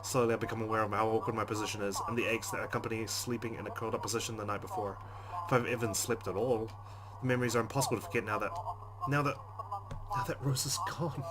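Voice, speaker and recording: A man's voice: fairly low pitch, fairly even intonation, fast, a loud crowd, poor audio. A man hosting a let's play, reading visual novel narration aloud in a dramatic way. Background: game music.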